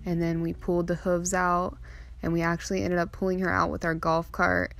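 A woman's voice: on the low side, level tone, brisk, a bit bright, dry recording. A young adult woman narrating a personal vlog in a heartfelt, earnest way. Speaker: farm vlogger and mother. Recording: clearly noisy outdoor ambience.

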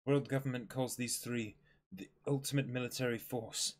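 A man voicing a composed, knowledgeable pirate crew member in a dramatic comic reading, measured and matter-of-fact. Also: mid-range voice, fairly even intonation, a little hiss or hum, pitched below the performer's own voice, lightly acted. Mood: serious, informative.

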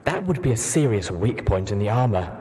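A man's voice: fairly low pitch, sing-song, brisk, warm tone, big-room sound. A man voicing an earnest, scoffing young pony mare who is an armored knight in a story reading.

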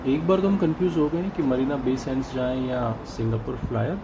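A man narrating his travel vlog in a calm tour-guide manner, mixing in English phrases. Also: mid-range voice, brisk, noisy background. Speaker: travel vlogger. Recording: voice over loud music.

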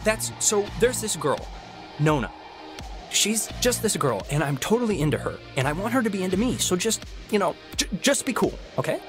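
A man voicing a talkative, nosy, goofy teenage high school boy in naturalistic video game dialogue, rambling and jokey with a flair for drama. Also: very animated, moderate pace. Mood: eager. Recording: game music under the voice.

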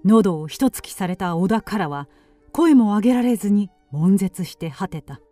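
A woman's voice: medium pitch, lively intonation, normal speed, dark tone, no room sound, faint music behind. A woman giving scripted narration for a radio drama.

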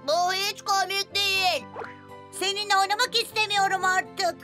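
A woman's voice: very high-pitched, level tone, moderate pace. A woman voicing a small, eager young talking bus in a cartoon dub, childlike and exclamatory. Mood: upset. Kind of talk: animated children's series dub. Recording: loud music behind the voice.

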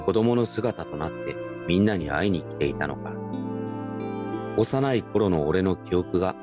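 A man narrates a radio drama in the first person from a script. His voice is low, and guitar music plays softly behind him. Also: animated, measured pace.

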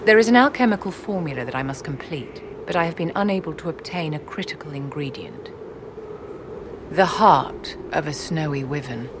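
Elegant court enchantress, deep female voice, poised, imperious, formal, video game dialogue, clear background ambience. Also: expressive, moderate pace, noisy background. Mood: courtly, grave. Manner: haughty, polished, formal.

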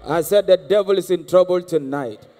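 High-voiced Ghanaian preacher in a big hall. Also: male voice, normal speed, mellow. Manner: exhorting, repeats calls for the crowd to answer. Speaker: guest prophet preacher. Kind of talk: charismatic sermon. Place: large church hall on stage. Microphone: handheld mic. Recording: live church hall with long reverb and music.

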